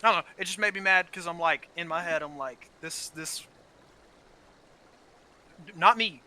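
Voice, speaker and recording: A man's voice: sits high, a little slow, bright, forceful tone. A man in casual, heated gaming chat, swearing often and repeating words as he gets his point out. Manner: frequent swearing, heated and opinionated. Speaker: gaming channel co-host. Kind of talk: casual gameplay chat about story.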